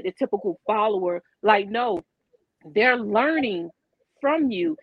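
A woman's voice: mid-range voice, no echo. Earnest motivational woman, dull dry audio. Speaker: guest, motivational speaker. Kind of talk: casual podcast interview.

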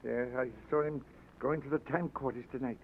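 A man's voice: mid-range voice, steady tone, a little fast, slight background noise, natural voice. An actor playing a boastful, panicky drunken informer, stammering as he talks, in a 1940s radio drama on a muffled old broadcast. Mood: flustered. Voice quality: blustering, stammering.

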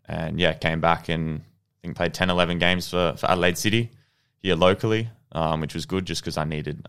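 A young Australian man with a very deep voice and a gravelly, creaky edge. He tells his story in a relaxed, rambling way, full of ums and restarts, and laughs easily. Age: 20s. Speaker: podcast guest, goalkeeper. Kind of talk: casual interview storytelling.

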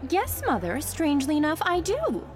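A woman's voice: high-pitched, wildly swooping pitch, normal speed, faint music behind. A woman playing a captain's sarcastic, exasperated grown child in an audio drama, indignant in manner.

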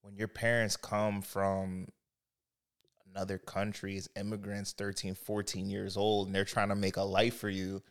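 A man from a Haitian-American family, co-hosting a casual podcast chat and telling his story in a relaxed, steady way. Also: lowish voice, moderate pace. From United States, Haitian family. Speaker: podcast co-host.

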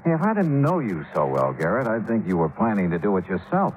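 An actor playing a wry, self-assured insurance investigator in a 1950s radio drama, in brisk hard-boiled style. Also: male voice, fairly low pitch, very animated, dull audio. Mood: wry, matter-of-fact. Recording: 1950s radio recording, music behind.

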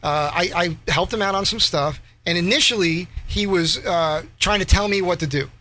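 A male guest tells a long story in a soft, breathy voice, restarting often with "so, so". His tone is bright and fairly high, and a faint room hum sits behind him. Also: normal speed. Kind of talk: casual storytelling interview.

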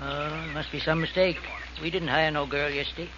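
An actor in 1940s radio style playing a stubborn old farmer with folksy rural speech and a guarded, unwelcoming temper. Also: male voice, a little high, little pitch movement, normal speed, lightly acted. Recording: dull, noisy old radio recording.

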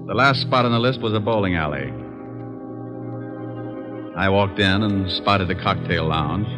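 Deep cool cocky old radio detective, dry and wry, music playing under him. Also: male voice. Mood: wry narration. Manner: dry, quick-witted, wry delivery. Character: suave wisecracking male private detective, cool and cocky. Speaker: actor playing lead detective and narrator. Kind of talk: 1950s radio detective drama acting and narration.

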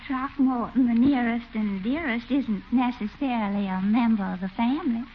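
An actress playing a coy, flirtatious Southern belle neighbor with a Southern American accent in a 1940s radio comedy. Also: female voice, fairly high pitch, moderate pace, natural voice. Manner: coy, cooing, affected. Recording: muffled, faintly noisy 1940s radio recording.

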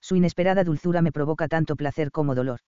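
A man narrating a first-person romance audiobook in a calm, even storytelling manner, in a slightly dull, dry recording. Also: a little high, fast talker, clean tone, dry recording.

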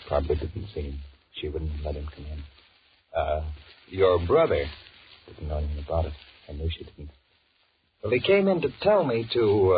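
Very deep-voiced panicky businessman. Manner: stammering aloud, muttering anxious repeated thoughts. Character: panicky married businessman, blustering and cowardly, secretly scheming. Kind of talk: 1940s radio horror acting with inner monologue. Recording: muffled, noisy 1940s radio recording.

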